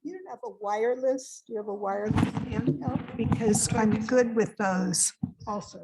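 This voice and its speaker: A soft-spoken woman doing a microphone check before giving a lecture in a congregation hall. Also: on the high side, moderate pace, very noisy. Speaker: guest lecturer.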